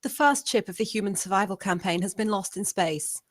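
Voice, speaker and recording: A woman acting a serious, formal television news anchor with a deadpan delivery in a comedy table read. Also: mid-range voice, a little fast, dry recording, studio quality, close to an everyday voice.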